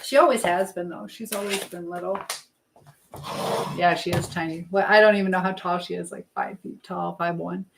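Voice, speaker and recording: A woman's voice: on the low side, steady tone, moderate pace. A woman hosting a casual live stream, talking in a chatty, affectionate way with viewers and reading their comments aloud. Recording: clear background noise with clicking sounds.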